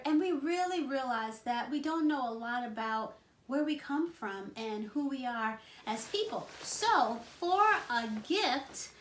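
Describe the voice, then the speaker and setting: A woman's voice: high voice, slight background noise. A woman in her thirties chatting earnestly in a home vlog, with frequent ums and restarts. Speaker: vlogger and wife. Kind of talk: casual home vlog chat. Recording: echoey room.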